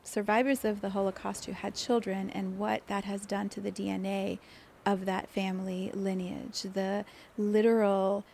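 A woman's voice: medium pitch, flat delivery, normal speed, vocal fry, slight background noise. Earnest, meandering woman in her fifties. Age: 50s. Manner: earnest, meandering, frequent fillers and restarts, soft laughs. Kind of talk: reflective solo talk.

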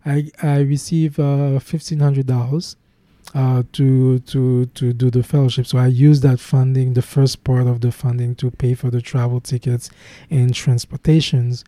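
A young man with a Haitian accent tells his story in an interview, earnest and halting, his sentences full of restarts and fillers. His voice is very dark and mellow, with a fairly flat melody. The sound is dry and close. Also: mid-range voice, no room sound. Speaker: guest, program founder.

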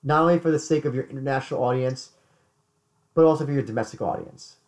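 A man co-hosting a casual podcast chat, hoarse, often stumbling and repeating words. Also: medium pitch, fast. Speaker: podcast co-host.

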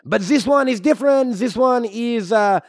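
A man's voice: very high voice, moderate pace, no room sound, studio quality. A man voicing a chatty, easygoing French Canadian superhero in an improvised comedy, rambling hesitantly in broken English. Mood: chatty, earnest. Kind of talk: improvised comedy podcast in character.

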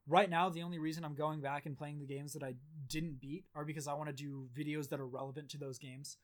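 A hoarse man with a slightly high voice hosts a casual gaming podcast. He rambles and swears freely, and his talk is full of fillers and restarts. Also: little pitch movement, fast. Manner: rambling, filler-heavy, blunt and profane. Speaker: podcast host. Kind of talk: casual chat.

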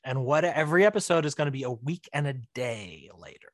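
A man's voice: medium pitch, sing-song, fast talker, no echo, studio quality. A man chatting casually as a podcast guest, informal and prone to repeating phrases for emphasis. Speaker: guest, writer-director.

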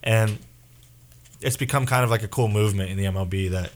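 A man with a fairly low voice leads a relaxed sports conversation, wandering from point to point and often trailing off into filler words before finding his thought again. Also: a little fast. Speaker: podcast host. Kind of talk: casual sports chat.

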